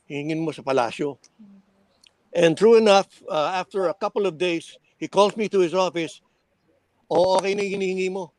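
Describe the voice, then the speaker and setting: A man's voice: sits high, no echo. An older Filipino man telling radio stories in casual conversation as a veteran DJ, switching languages and restarting as he goes. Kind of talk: casual reminiscing chat, mixing English and Tagalog.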